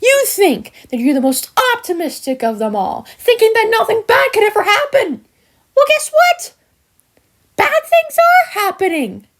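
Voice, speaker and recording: A woman voicing a smug, villainous cartoon sheep creature who taunts in a theatrical, mocking manner with drawn-out words and hesitant asides. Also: very high voice, sing-song, exaggerated voice. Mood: scornful. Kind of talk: scripted character monologue for a fan voice-over.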